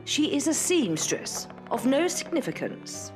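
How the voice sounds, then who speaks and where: A woman's voice: high-pitched, sing-song, a little slow, over music. A woman playing a stern, cold older mother in an audio drama, severe and formal in manner. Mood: stern, dismissive. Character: stern, cold, secretive Victorian mother of a grown son.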